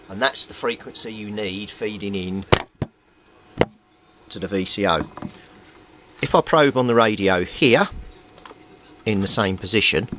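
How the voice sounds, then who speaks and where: A man's voice: lowish voice, fairly bright tone. A man giving an informal, methodical workbench explanation as a hobbyist repairer. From Britain. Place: small indoor home workbench. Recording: clearly noisy home recording.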